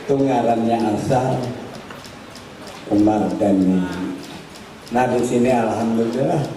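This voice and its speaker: An older man telling a life story at a religious gathering in an unhurried, folksy way with asides. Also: mid-range voice. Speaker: cleric telling his teacher's life story. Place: large hall at a religious gathering. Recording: echoey, distant mic, noisy hall.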